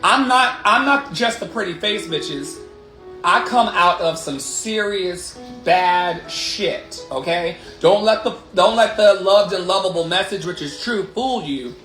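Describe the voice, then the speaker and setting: Man, thirties, fairly high voice, candid and profane, motivational livestream coach, repeated emphatic phrases, roomy sound with music behind. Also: expressive, measured pace, sharp tone. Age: 30s. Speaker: life coach and livestream host. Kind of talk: motivational livestream talk with affirmations. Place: living room.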